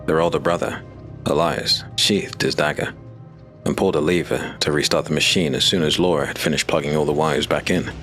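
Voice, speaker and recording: A man narrating a dark fantasy audio drama in measured, dramatic storytelling. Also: a bass voice, fairly even intonation, soft background music.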